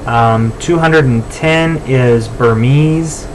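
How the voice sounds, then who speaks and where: An American man talks through a software walk-through in an informal, step-by-step way. His voice sits at a middling pitch. A faint layer of background noise runs under his instructions. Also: slow pace, low-quality recording.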